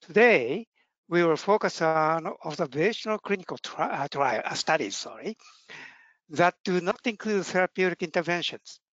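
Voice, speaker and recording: A man from Japan with a Japanese accent, giving a webinar talk in a halting, self-deprecating way with many fillers. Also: a little high, expressive, fairly bright tone, dry recording. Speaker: neurologist presenter. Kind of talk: webinar presentation. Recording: muffled dry webinar audio.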